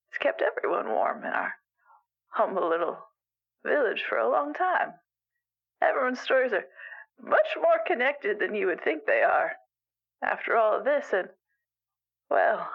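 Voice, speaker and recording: A woman playing an elderly, gentle animal weaver in an improvised radio call-in drama, rambling haltingly through folksy, wistful advice. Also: on the high side, gravelly, husky, heavily acted. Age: older. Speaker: caller to a radio show. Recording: dull lo-fi radio call sound.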